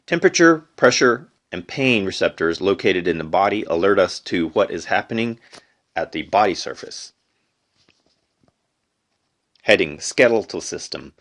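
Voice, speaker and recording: Male amateur reader, lowish voice, deliberate phrasing, unpolished textbook read-aloud. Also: animated, fairly bright tone. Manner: deliberate phrasing, reads headings aloud, stumbles and corrects himself. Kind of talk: unedited educational read-aloud.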